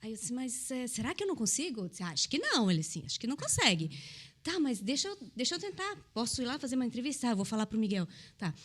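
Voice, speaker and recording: Woman from Brazil, middle-aged, guest, chatty storyteller, slightly high voice. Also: very animated, fast. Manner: chatty, acts out dialogue in her story. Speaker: restaurant owner guest. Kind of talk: casual storytelling.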